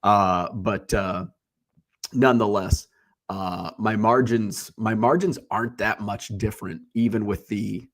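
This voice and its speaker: A man's voice: fairly low pitch, steady tone, unhurried, a bit bright. A man hosting a casual livestream, a little congested, talking bluntly with lots of fillers and restarts. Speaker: livestream host. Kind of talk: casual rambling chat.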